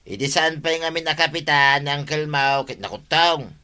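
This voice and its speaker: A man's voice: on the high side, normal speed, bright, forceful tone, clear, resonant tone. A man playing a mischievous, tipsy village man who rambles and mocks people in a comedy radio drama. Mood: teasing. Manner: mocking, rambling, name-calling.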